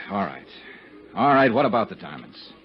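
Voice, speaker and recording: Hard-boiled American detective, animated delivery. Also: male voice, on the low side, unhurried. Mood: cool, probing. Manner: hard-boiled, sardonic, blunt. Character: tough, wry insurance investigator hero. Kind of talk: 1950s radio detective acting and first-person narration. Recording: muffled 1950s radio recording, dramatic music behind.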